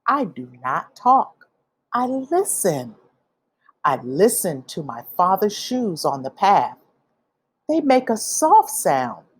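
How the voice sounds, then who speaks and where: A woman reading a children's picture book aloud, with expressive, deliberate phrasing for young listeners. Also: mid-range voice, husky. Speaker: read-aloud storyteller.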